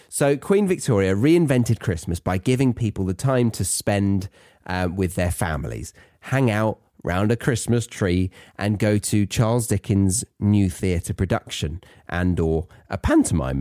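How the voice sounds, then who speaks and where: A man's voice: on the low side, a little mellow, dry recording, studio quality. A British man hosting a podcast for English learners, chatting on his own with playful, tongue-in-cheek asides. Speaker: podcast host teaching English to non-native learners. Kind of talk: chatty solo podcast monologue. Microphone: close podcast microphone in a dry room.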